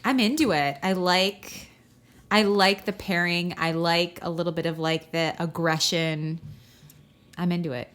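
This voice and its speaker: A woman's voice: on the low side, fairly even intonation, measured pace, fairly bright tone, clear, resonant tone. A woman co-hosting a comedy podcast in casual banter, with a giggly, playful manner. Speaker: podcast co-host.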